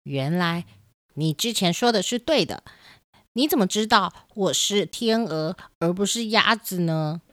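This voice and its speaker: A woman's voice: lowish voice, lively intonation, unhurried, fairly bright tone, dry recording, clean studio sound. A woman voicing a sad, self-doubting young duckling in a children's storybook radio play, speaking earnestly. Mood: amazed. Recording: music behind the voice.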